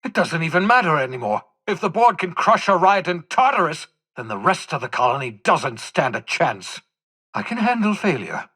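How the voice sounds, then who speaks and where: A man playing an eccentric, obsessive older fugitive scientist in a video game, raspy and theatrical, with emphatic, articulate phrasing and bitter self-reproach. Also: on the high side, sing-song, normal speed, pushed and bright, heavily acted. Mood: anguished, frustrated. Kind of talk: dramatic video game dialogue.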